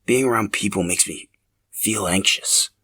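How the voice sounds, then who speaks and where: A man voicing a shy, brooding young man in a story, speaking softly and hesitantly. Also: lowish voice, unhurried, breathy edge, heavily acted. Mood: wistful, intimate.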